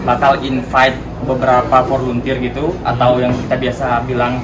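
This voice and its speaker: A man from Bali who works as a head chef talks in a relaxed way and drops English words into his speech. He sounds some distance from the mic, in a roomy kitchen. Loud kitchen and air-conditioning noise runs behind him. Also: medium pitch, normal speed. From Bali, Indonesia. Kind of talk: casual on-site interview. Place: restaurant kitchen.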